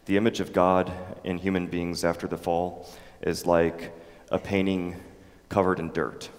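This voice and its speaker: Deep-voiced man lecturing in a hall. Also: little pitch movement. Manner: halting, many ums and restarts. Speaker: teacher. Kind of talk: theology lecture with audience questions. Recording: echoey hall with long reverb.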